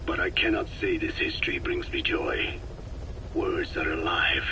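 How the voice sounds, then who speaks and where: An old, solemn Navajo researcher; extremely deep, slow delivery; hissy cassette sound. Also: male voice. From Navajo Nation, American Southwest. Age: older. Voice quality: low, slow, measured and solemn, often breathy. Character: very old male Navajo scientist, grave. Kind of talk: game cassette tape dialogue. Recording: noisy tape hiss.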